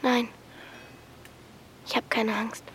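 A woman playing a curious, loyal thirteen-year-old girl in a radio drama, talking in an intimate, hushed, questioning way. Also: on the high side, little pitch movement, slow, slight background noise. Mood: anxious, helpless. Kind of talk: naturalistic radio drama acting.

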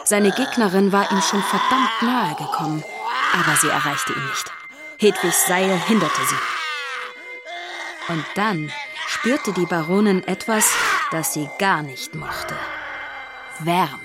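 A woman's voice: medium pitch, lively intonation, takes it slowly, pauses often, over loud music. A woman narrating a children's audio drama as a scripted storyteller.

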